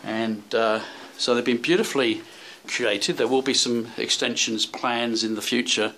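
A man's voice: mid-range voice, a little slow, pushed and bright, a little hiss or hum, low-quality recording. A man narrating a handheld walking tour of a seaside hotel, rambling with many fillers and restarts. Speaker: travel vlogger. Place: hotel rooms and seaside street. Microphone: handheld camera.

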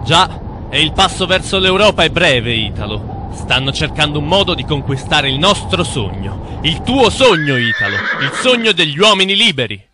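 A man's voice: a little high, very animated, moderate pace, noisy background. A man playing an intense, bitter cartoon crusader with a grave, preachy, dramatic delivery. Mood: solemn. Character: intense, bitter conspiracy-minded man on a crusade against bankers. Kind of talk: dubbed cartoon dialogue.

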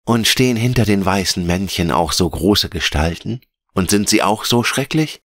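An innocent little girl from a fairy tale, played by a man, pitched well above the reader's own voice. The delivery is eager, curious and lilting, in a dry recording. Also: lively intonation, normal speed, fairly bright tone, no room sound. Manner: eager, naive, lilting questions. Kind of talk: fairy-tale audiobook character.

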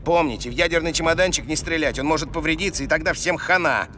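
A man's voice: on the high side, fast talker. A man playing an earnest, urgent Russian special forces comrade in a military shooter game dub. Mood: urgent, commanding. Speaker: squad member giving orders. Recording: loud car and street noise behind. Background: vehicle engine and city noise.

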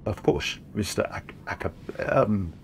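An actor playing a polite photographer who stumbles over a customer's name. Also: male voice, on the low side, animated, slow pace, vocal fry, a little hiss or hum, exaggerated voice. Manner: polite, a little awkward. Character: polite male studio photographer.

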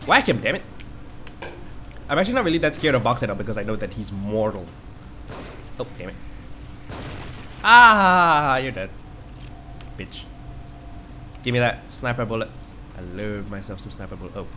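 A man with a stuffed-up nose from a cold rambles through casual gameplay commentary, reacting to the screen as he plays. His pitch jumps around a lot, and a faint hiss sits under the voice. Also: sing-song, moderate pace, pauses often, pushed and bright. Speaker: let's play host.

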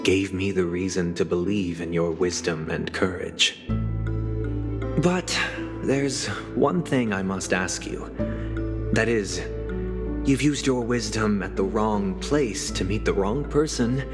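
Pious young game nobleman, low voice, formal, controlling, coldly courteous, long reverb. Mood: grave courtesy. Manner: formal, measured, stern courtesy. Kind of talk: video game voice acting. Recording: long reverb, loud music behind. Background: game soundtrack music.

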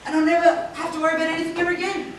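A woman playing an excitable young hero in an amateur stage pantomime, gushing and eager. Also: very high-pitched, racing. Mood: excited. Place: large theatre stage. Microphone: far camera. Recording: echoey hall from far off, loud noise.

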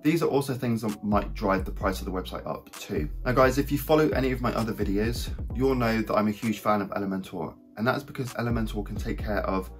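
A man in his thirties with a croaky voice from a recent cold, hosting an upbeat scripted tutorial from a small home office and speaking straight to viewers. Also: fairly low pitch, quick pace, few pauses, soft background music. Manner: upbeat, direct, addresses viewers as guys. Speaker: web design channel host. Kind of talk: scripted talking-head tutorial.

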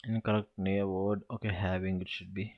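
A man's voice: sits low, flat delivery, moderate pace, lo-fi sound. A man tutoring SQL in a screen-recorded walkthrough, thinking aloud and counting through each step.

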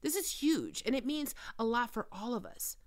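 A woman's voice: a little high, sing-song, normal speed, pitched above the performer's own voice. A woman playing a famous, cocky and flirty actress in an intimate, teasing, confiding ASMR roleplay. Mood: earnest, scheming. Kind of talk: intimate ASMR audio roleplay, one-sided conversation.

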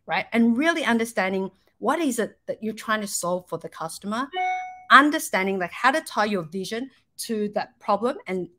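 A middle-aged woman with a medium-pitched voice gives an online business presentation. She explains things step by step in a conversational way, often adding little check-ins to the listener. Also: expressive, moderate pace, sharp tone. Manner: explanatory, checking in with "right?" and "you know". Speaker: startup marketing speaker. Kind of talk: slide presentation to an online audience. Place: indoors, on a video call.

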